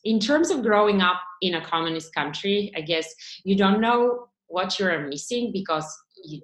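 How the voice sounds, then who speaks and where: A woman in her forties from Bulgaria, a founder answering interview questions in a measured way, with small laughs and fillers. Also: mid-range voice, lively intonation, fairly bright tone, echoey room, low-quality recording. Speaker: startup founder and CEO.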